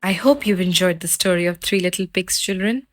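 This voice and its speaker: A woman reading a children's fairy tale aloud with expressive storytelling. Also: fairly low pitch, a little fast. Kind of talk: children's read-aloud narration.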